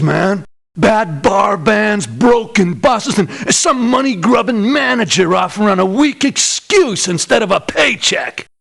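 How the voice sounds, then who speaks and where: Game merc, male, burnt-out rocker: husky, slow drawl, slang-heavy, cash-hungry swagger. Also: high voice, a bit bright, exaggerated voice. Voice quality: raspy, gravelly. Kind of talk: video game character voice acting.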